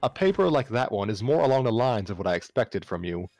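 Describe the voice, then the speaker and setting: A man's voice: on the low side, sing-song, quick pace, darkish tone, dry recording. A man playing a kindly, formal male university teaching assistant, earnest and encouraging, in a story reading. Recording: music playing behind the voice.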